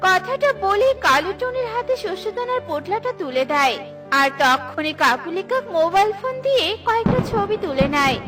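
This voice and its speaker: A woman's voice: very high-pitched, sing-song, normal speed, over loud music. A woman reading scripted narration for a children's story as the storyteller.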